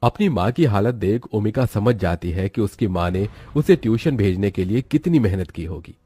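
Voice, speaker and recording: A man narrates a moral tale from a script. His voice is on the low side, mellow and husky, in a very dry recording. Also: lively intonation, moderate pace, no room sound, faint music behind.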